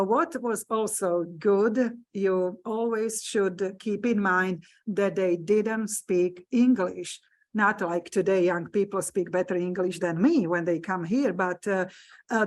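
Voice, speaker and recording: A woman with a Slovak accent, a native Slovak speaker, giving a genealogy lecture to a room audience and online viewers, talking hesitantly with many fillers. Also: medium pitch. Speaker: genealogy presenter. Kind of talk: hybrid library lecture. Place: meeting room with live audience.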